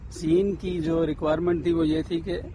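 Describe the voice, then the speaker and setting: A man answering interview questions conversationally, pausing between phrases. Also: a little high, flat delivery, background noise. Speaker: amateur audio drama actor being interviewed.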